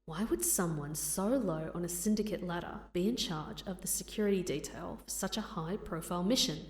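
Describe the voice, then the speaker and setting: A woman playing a sharp, wary young woman in an audio drama, deliberate and expressive. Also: fairly low pitch, roomy sound, close to an everyday voice. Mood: suspicious. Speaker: voice actor. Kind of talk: anime-style audio drama acting.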